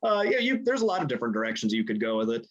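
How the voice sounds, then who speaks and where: A man's voice: medium pitch, sing-song, rapid-fire, muffled recording. A man talking casually as a guest, thinking aloud and feeling his way through ideas. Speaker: writer, panel guest. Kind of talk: casual chat.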